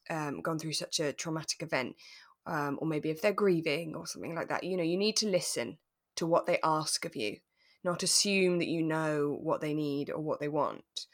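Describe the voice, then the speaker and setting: A woman co-hosting a podcast in a relaxed conversational style, chatty and reflective, often ending on tag questions. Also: low voice. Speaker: podcast co-host. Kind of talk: relaxed conversational drama recap.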